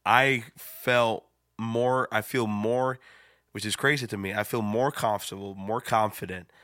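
A man, a pastor co-hosting a casual talk show, who speaks haltingly and repeats words as he goes. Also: lowish voice, fairly even intonation, fairly bright tone, clear, resonant tone, no echo, clean studio sound. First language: Spanish. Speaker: pastor, co-host. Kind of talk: casual podcast chat.

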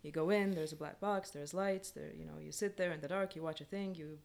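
A woman's voice: sits low, steady tone, a little fast, vocal fry, darkish tone. A woman chatting casually as a playwright guest on a panel, explaining things informally in short phrases.

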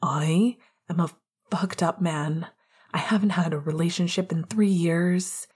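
An earnest, tender man in a romantic audio story, played by a woman, speaks in a breathy near-whisper. The delivery is slow, halting and mellow, nervous and heartfelt. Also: on the low side. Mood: confessing.

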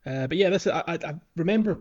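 A man's voice: a little high, expressive, quick pace, darkish tone, muffled recording. A man hosting a podcast, chatting casually about a video game. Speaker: podcast host.

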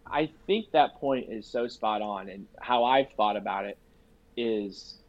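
A man's voice: lowish voice, a little slow. A young man with a nasal, congested voice from a cold, talking earnestly and casually on a podcast, repeating phrases for emphasis. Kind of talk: casual conversational podcast chat. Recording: dry, slightly dull sound.

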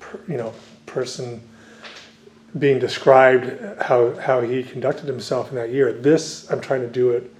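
A man's voice: medium pitch, little pitch movement, measured pace, a little mellow. A man who grew up speaking Dutch, a visiting scholar and author answering interview questions at length, with many fillers and restarts.

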